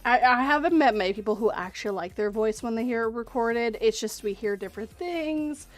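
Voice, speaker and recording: High-voiced woman, candid and opinionated. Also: a little fast, no room sound. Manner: candid, opinionated, lots of restarts. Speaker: horror story narrator. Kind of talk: casual livestream chat.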